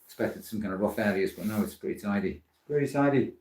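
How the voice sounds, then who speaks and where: A man's voice: fairly low pitch, quick pace, a little mellow. A Welsh-born man hosting a live woodturning stream from his workshop, talking in a chatty, rambling, self-deprecating way. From Wales. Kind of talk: live workshop demonstration chat. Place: woodworking workshop. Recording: echoey workshop, distant mic.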